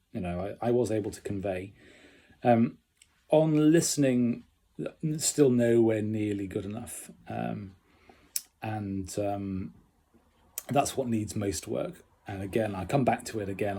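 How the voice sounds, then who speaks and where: A man talking casually and unscripted as in a personal video diary, halting and reflective with long pauses, in a husky voice. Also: lowish voice, dark tone. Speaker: amateur radio learner recording a progress diary.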